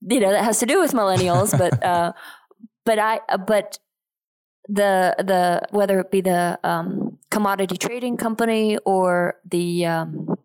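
A woman's voice: mid-range voice, unhurried, a little mellow. A woman in her thirties from Switzerland, talking casually as an interview guest. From Geneva, Switzerland. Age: 30s. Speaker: podcast guest, real estate investor.

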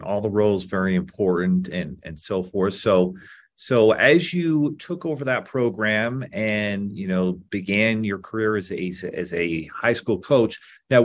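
A man hosting an interview podcast, affirming his guest and asking questions with repeated words. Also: on the low side. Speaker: podcast host.

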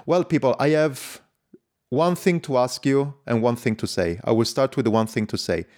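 A man's voice: medium pitch, moderate pace, darkish tone. Enthusiastic man hosting a podcast. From lives in Switzerland. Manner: enthusiastic, non-native phrasing. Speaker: podcast host. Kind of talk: casual podcast interview.

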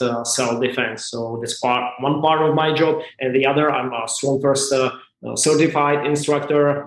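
Man, halting, in an echoey room. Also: medium pitch. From Czech Republic. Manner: halting, many "uh" fillers, earnest. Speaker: martial arts and strength instructor, guest. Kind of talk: casual interview chat. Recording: roomy echo.

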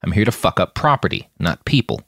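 A man acts out a drugged, violent mercenary in an audiobook. The voice is low and blunt with a slight slur, swinging in pitch, recorded dry. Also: lively intonation, brisk, creaky, fairly bright tone, dry recording, exaggerated voice. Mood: irritated, defiant.

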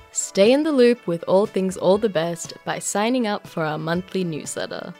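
A woman's voice: mid-range voice, a little mellow. An Australian woman reading scripted personal stories and radio announcements in an emphatic, conversational way. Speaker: storyteller and radio show host.

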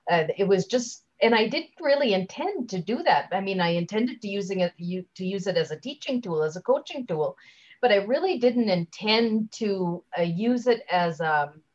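A woman giving a conversational interview as a guest speaker, chatty and halting, often leaving sentences unfinished, on slightly dull audio. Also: mid-range voice, animated, darkish tone. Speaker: guest, LinkedIn trainer and speaker.

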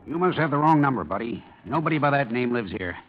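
An evasive American crook of about forty acted by a man in a vintage radio play. He is curt and dismissive, with a very lively pitch. The old recording is dull and a little noisy. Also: fast, natural voice. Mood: brusque. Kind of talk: 1950s radio detective acting.

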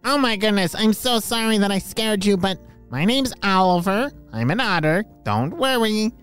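A storyteller doing an animated, helpful otter fellow. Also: mid-range voice, normal speed, clear, resonant tone, faint music behind, natural voice. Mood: friendly, startled. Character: excitable, friendly, helpful male otter guide.

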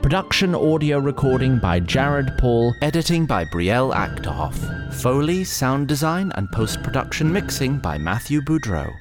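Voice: medium pitch, big pitch swings, normal speed. A narrator and announcer reading scripted narration and credits for an audio drama. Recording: music under the voice.

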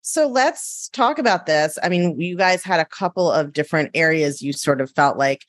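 Low-voiced chatty woman podcast host. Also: lively intonation, no echo, clean studio sound. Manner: chatty, enthusiastic, quick asides. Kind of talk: casual podcast interview.

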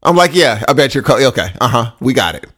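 A man's voice: medium pitch, sing-song, fast, fairly bright tone, husky. A man co-hosting a podcast, chatting casually in slangy language, telling joking stories and acting out quotes. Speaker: podcast co-host. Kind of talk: casual chat, storytelling.

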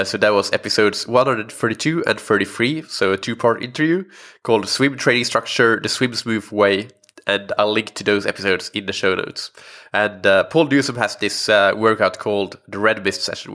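A man's voice: fairly low pitch, normal speed, a bit bright. Congested man hosting a podcast. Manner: many fillers and restarts, friendly and chatty. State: congested, recovering from illness. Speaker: podcast host. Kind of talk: casual solo podcast talk.

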